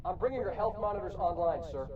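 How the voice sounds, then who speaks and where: Brisk ship crewman, high voice, far from mic, procedural, game cutscene. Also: lively intonation, phone-quality sound, noisy background. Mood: brisk, dutiful. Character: brisk, dutiful male ship crewman at controls. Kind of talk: video game cutscene acting. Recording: distant voice under loud music.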